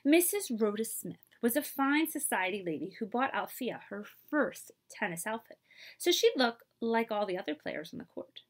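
A woman in her thirties reading a children's picture book aloud expressively, pausing to put questions to her listeners. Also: fairly high pitch. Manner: animated, asks listeners questions. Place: small room indoors.

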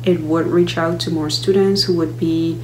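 A young woman from Guyana speaking in a friendly, steady, slightly recited way, giving a webcam testimonial as a student from her bedroom. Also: low-pitched, normal speed, low-quality recording. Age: young adult. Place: bedroom at home. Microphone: laptop webcam. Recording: webcam audio with clear hum.

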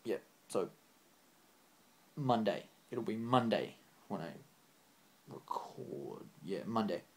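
A young man signing off his vlog, rambling off the cuff and earnest, his voice getting a bit croaky. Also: fairly low pitch, animated, takes it slowly, a little mellow. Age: young adult. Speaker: channel host. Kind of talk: unscripted vlog sign-off. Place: small room indoors, on a couch.

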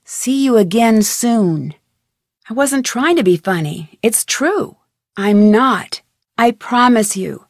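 A woman's voice: a little high, lively intonation, takes it slowly, no echo, studio quality, full character voice. A woman playing an inquisitive, friendly young female detective in a video game, speaking in an earnest, conversational way. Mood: earnest, curious. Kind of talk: scripted video game dialogue.

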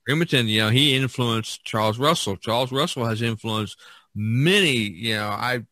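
A man's voice: medium pitch, moderate pace, sharp tone, no echo. A man hosting a podcast in casual discussion, talking in a hesitant, meandering way. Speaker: podcast host.

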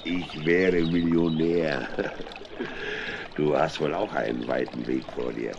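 An actor playing a jovial, boastful old vagabond trader in a children's radio play, with a folksy, exclamatory manner. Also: male voice, very deep voice, steady tone, takes it slowly, muffled recording, background noise, exaggerated voice. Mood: jovial, chatty.

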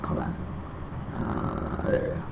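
A man's voice: slow pace, a little hiss or hum. A young adult man rambling casually on a gaming vlog in a sweary, grumbling manner. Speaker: gaming channel vlogger. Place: small home room, indoors.

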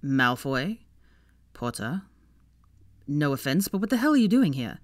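A blunt young wizard from a storybook, acted by a woman. He sounds stern and incredulous, with wide swings in pitch and a dry, close sound. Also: very animated, a little slow, dry recording. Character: stern, bewildered, loyal young male wizard.

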